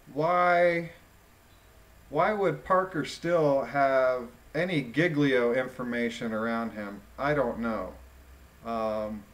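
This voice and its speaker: A man reading a document aloud and adding informal commentary in a deliberate, musing way. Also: medium pitch.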